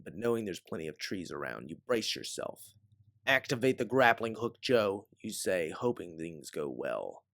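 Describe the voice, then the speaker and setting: A man narrating a science-fiction adventure story as an audiobook reader, with theatrical emphasis and a measured, dramatic delivery. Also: lowish voice, vocal fry, no echo, studio quality. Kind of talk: dramatic second-person sci-fi story narration.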